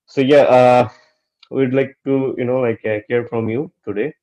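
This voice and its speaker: A man's voice: medium pitch, fairly even intonation, normal speed, mellow. A man from Bangladesh, hosting an online interview, hesitant and full of "you know, like". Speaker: host. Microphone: video call. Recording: dull lo-fi call audio.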